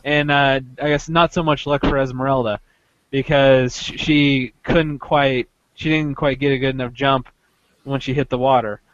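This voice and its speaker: A man hosting a podcast, casually recounting an experience in a halting way, often interrupting himself as he goes. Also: medium pitch, fairly even intonation, no room sound, muffled recording. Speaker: podcast host telling a story.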